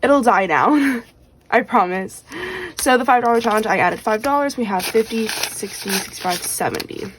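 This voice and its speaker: A woman's voice: fairly high pitch, normal speed, noisy background. A woman chatting casually as she stuffs cash into budget envelopes. She rambles with lots of fillers, counts bills aloud and is slightly losing her voice. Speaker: budgeting vlogger.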